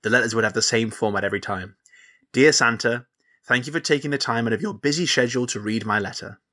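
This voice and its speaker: A man's voice: mid-range voice, quick pace, pushed and bright, close to an everyday voice. An actor playing an earnest, sentimental young man in an audio drama, speaking sincerely like a lonely true believer. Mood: earnest, nostalgic. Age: young adult. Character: earnest, sentimental grown man who still believes in Santa. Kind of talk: naturalistic audio drama dialogue.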